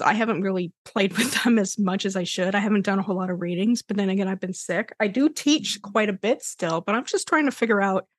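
A woman who reads and teaches tarot, talking on a podcast in a thoughtful, halting way. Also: mid-range voice, dry recording, clean studio sound. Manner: thoughtful, halting, restarts often. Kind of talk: casual podcast chat.

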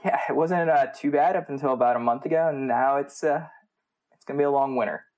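A man's voice: mid-range voice, fast talker, darkish tone. American man in a casual interview. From United States. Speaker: MBA student, podcast guest.

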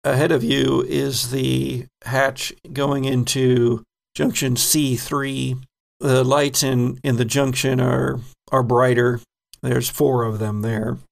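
A man running a tabletop game as game master, setting scenes in an unhurried, descriptive way. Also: mid-range voice, little pitch movement, vocal fry.

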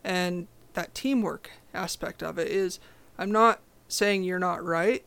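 A young woman with a low voice, talking as a podcast guest in a direct, confident, casual way. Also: slow, vocal fry, smooth. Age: young adult. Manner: confident, direct, animated storytelling. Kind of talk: casual interview chat.

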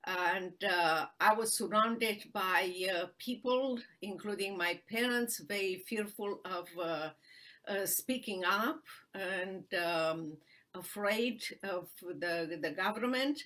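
A woman from Romania with a Romanian accent, earnestly telling her life story as an interview guest, pausing with fillers. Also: medium pitch, sharp tone. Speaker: guest, human rights attorney.

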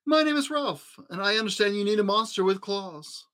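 A man's voice: lively intonation, normal speed, a bit bright. A man voicing a prim, well-groomed male storybook monster with a silky voice and refined, fussy courtesy. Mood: prim, courteous. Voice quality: high, silky.

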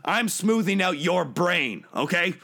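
A man playing a pompous, bright-voiced loudmouth. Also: high-pitched, lively intonation, measured pace, heavily acted. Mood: boastful. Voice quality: gravelly. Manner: pompous, bullying, condescending. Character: arrogant male loudmouth who thinks he's the best. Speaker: recurring comedic guest. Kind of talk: improvised comedy bit.

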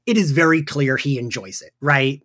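A man's voice: mid-range voice, expressive, moderate pace, a bit bright. A man in his forties hosting a podcast, talking casually and chattily in a fan discussion, giggling often. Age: 40s. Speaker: podcast host.

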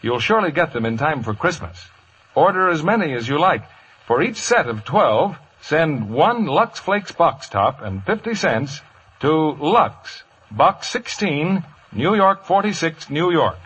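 A man's voice: fairly high pitch, very animated, measured pace, fairly bright tone, slightly rough, lo-fi sound. An American man reading a radio commercial as an announcer in a 1950s broadcast style. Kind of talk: 1950s radio advertising read.

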